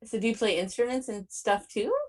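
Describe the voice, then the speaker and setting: A woman's voice: a little high, fairly quick, a loud crowd. A woman hosting a podcast in casual interview chat, effusive and informal, often saying "like". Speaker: podcast host.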